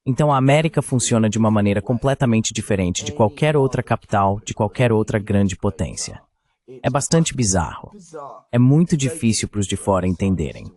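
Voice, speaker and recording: Male commentator, lowish voice, opinionated political analysis for a translated voice-over. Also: animated, a little fast, darkish tone.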